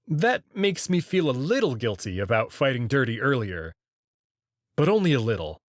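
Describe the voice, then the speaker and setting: A man reads a visual novel aloud in the first person. His narration is slow, with a pitch that moves a lot. The sound is close and dry, with faint music under it. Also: dry recording. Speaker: reader.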